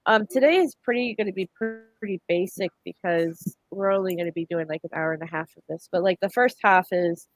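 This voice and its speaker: A woman's voice: lowish voice, fairly quick, hardly any pauses, no echo, rough recording. A woman hosting a casual livestream discussion, congested from a cold, chatty and full of fillers and self-corrections. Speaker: livestream host.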